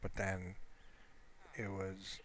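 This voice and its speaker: A man's voice: low-pitched, extremely slow. A man giving casual, unscripted gameplay commentary, rambling and hesitant as he thinks aloud. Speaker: amateur gaming video creator. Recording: steady background noise.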